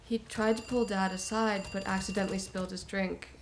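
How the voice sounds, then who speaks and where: An actress playing a guarded adult daughter recounting a family fight as if in a police interview. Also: female voice, medium pitch, little pitch movement, a little fast, lightly acted, low-quality recording. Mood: measured, uneasy. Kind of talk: police interview monologue, film acting. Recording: clear background noise with typing.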